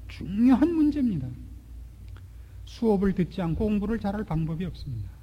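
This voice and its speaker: A man in his forties preaching a sermon, asking rhetorical questions and swinging between loud and hushed delivery. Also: high-pitched, breathy edge. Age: 40s. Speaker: pastor. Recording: long hall reverb.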